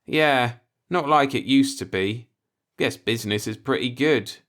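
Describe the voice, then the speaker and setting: A man's voice: mid-range voice, unhurried, fairly bright tone, voice pushed up, lightly acted. A man voicing an earnest, law-abiding and easily alarmed man in a science-fiction audiobook, with an insistent manner. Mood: wry. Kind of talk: audiobook character voice in a sci-fi story.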